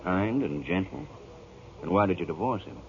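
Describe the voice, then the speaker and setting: A composed police inspector in a 1940s radio noir. His deep voice moves expressively as he probes with polite skepticism. The old broadcast is dull, with some noise. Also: lively intonation, moderate pace. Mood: firm, skeptical. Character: composed, probing male police inspector, authoritative.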